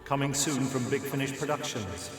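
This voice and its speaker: A man's voice: mid-range voice, normal speed, natural voice. A man announcing an audio drama trailer in a scripted promotional style. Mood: portentous. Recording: heavily echoing trailer with music.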